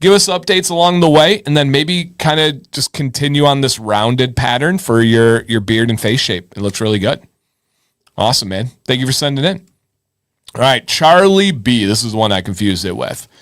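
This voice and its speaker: A man's voice: medium pitch, moderate pace, a bit bright, no echo, clean studio sound. A man hosting a live advice show in casual chat, informal and encouraging, thinking aloud and repeating himself.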